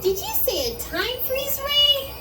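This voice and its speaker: A woman playing an excitable character in a live theatrical puppet show for children. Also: very high voice, slow, over music, heavily acted. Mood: astonished. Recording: distant, very noisy live show capture.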